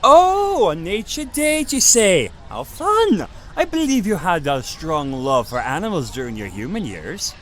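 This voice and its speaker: A man's voice: sits high, very animated, measured pace, hardly any pauses, fairly bright tone, a little hiss or hum, full character voice. A man playing a playful, affectionate archangel big brother in an audio drama, with a theatrical, teasing manner. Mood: gleeful, teasing. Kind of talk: audio roleplay drama acting.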